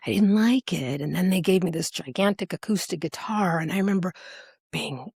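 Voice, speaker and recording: A woman with an American accent, speaking casually as an interview guest, animated and playful as she tells her stories. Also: lowish voice, moderate pace, gravelly, husky, dry recording, clean studio sound. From Alaska, United States. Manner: animated, playful, acts out remembered remarks. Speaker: singer, podcast guest.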